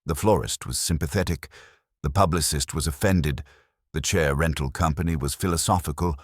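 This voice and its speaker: A man reading a first-person detective story as an audiobook narrator, in a wry, measured storytelling manner. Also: very deep voice, husky, dry recording, clean studio sound.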